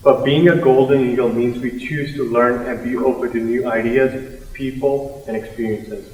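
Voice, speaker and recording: A man's voice: medium pitch, steady tone, normal speed, a little mellow. A man reciting scripted lines as a student performer in an ensemble piece. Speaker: student performer in readers theater. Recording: echoey hall, distant microphone.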